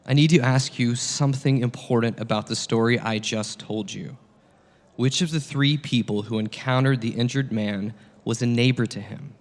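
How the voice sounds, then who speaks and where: A man playing a patient, earnest teacher of parables in a satirical dramatic reading, solemn and deliberate, with long echo around the voice. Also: medium pitch, fairly even intonation, close to an everyday voice. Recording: long reverberant hall echo.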